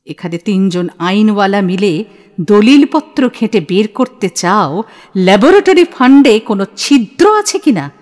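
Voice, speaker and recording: A woman's voice: medium pitch, very animated, unhurried, a little mellow. A woman playing a stern, shrewd middle-aged mother in an audio play, cutting and authoritative. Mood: commanding, scornful. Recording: long hall-like reverb.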